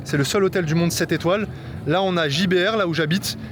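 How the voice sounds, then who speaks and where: A man's voice: high voice, quick pace, noisy background. A man from the Paris region giving an earnest motivational monologue to his viewers, repeating his points as he speaks straight to them. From France, Paris region. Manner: earnest, repetitive, direct address to viewer. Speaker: trading vlogger.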